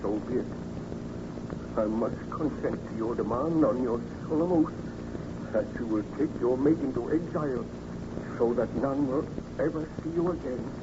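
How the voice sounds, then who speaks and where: An actor playing a remorseful baron who created a monster, speaking earnestly and formally in old-time radio drama style. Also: male voice, medium pitch, normal speed, natural voice. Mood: reluctant. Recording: noisy, muffled old radio recording.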